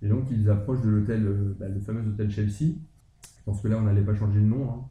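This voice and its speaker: A man's voice: lowish voice, steady tone, quick pace, reverberant, narrow, tinny audio. A man co-hosting a podcast, talking casually and hesitantly, with many fillers and restarts. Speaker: podcast co-host discussing a roleplaying campaign.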